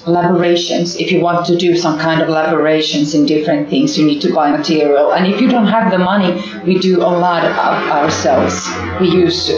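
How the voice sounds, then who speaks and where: A woman's voice: fairly low pitch, steady tone, fairly quick, hardly any pauses, music in the background. A primary school teacher from Finland, a woman whose first language is Finnish, earnestly answering interview questions in English with examples. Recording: echoey, distant, dull audio.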